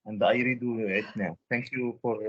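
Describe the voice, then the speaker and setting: A male student who speaks in a halting, apologetic way over a video call. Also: medium pitch, fairly even intonation, a bit bright, muffled recording.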